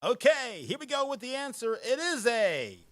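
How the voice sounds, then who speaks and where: A man hosting a casual live podcast, chatting and reading quiz questions in a rambling way and laughing often. He has a booming radio-style voice. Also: big pitch swings, normal speed, a bit bright. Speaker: podcast host.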